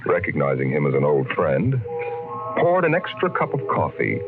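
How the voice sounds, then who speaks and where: A man playing a grave, measured storyteller in a 1950s sci-fi radio drama. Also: a bass voice, huge pitch swings, exaggerated voice. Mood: grave, wry. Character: deep, grave storyteller of an eerie sci-fi tale. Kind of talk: 1950s radio scripted narration. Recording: tinny old radio recording, loud music.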